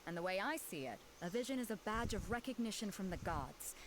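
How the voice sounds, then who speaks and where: Breezy, persuasive female captain for anime game, acted by a woman; animated range, mid pitch, noisy backdrop. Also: a little fast, lightly acted. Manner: breezy, self-assured, persuasive. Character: confident, breezy woman captain organizing a fighting tournament. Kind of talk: anime video game voice acting.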